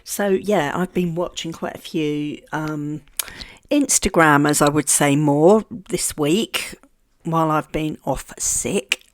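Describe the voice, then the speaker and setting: A woman's voice: sits low, takes it slowly. A woman with a congested voice from a heavy cold, chatting casually about her crafting and stumbling over her words. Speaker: craft vlogger. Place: home craft table.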